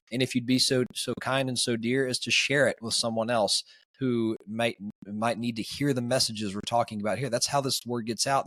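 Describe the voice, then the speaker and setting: A man hosting a podcast, hoarse today with a raspy voice, upbeat and chummy, talking casually to his guest and listeners. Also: mid-range voice, no echo. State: hoarse, voice raspier and deeper than usual. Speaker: podcast host.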